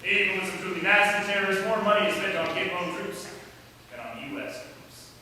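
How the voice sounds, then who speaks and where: A man with a high voice plays a satirical news reporter in an emphatic, mock-announcer manner. He is recorded from a distance in a reverberant hall with clear background noise. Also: brisk, bright, forceful tone. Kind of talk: student reader's theatre performance. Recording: echoey hall, mic far off, noisy.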